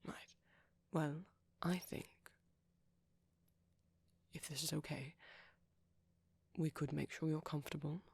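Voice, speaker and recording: A woman voicing a shy, gentle young male vampire boyfriend in an ASMR roleplay, speaking breathily and hesitantly with small stammers. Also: deep voice, steady tone, takes it slowly, creaky, pauses often, breathy edge, no room sound, full character voice. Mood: shy, caring. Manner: hesitant, stammering, softly whispery. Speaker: audio roleplay performer.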